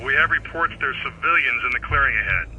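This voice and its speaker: A man's voice: medium pitch, lively intonation, fast talker, dull audio. An actor playing a man heard through a radio filter in an audio drama. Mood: reporting. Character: voice heard over a police radio. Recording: crackly noisy radio transmission.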